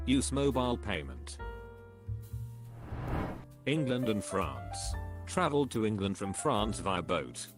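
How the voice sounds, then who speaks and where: Brief: low male narrator reading posts, flat and even, music underneath. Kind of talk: scripted narration. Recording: voice-over with clear background music. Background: music bed.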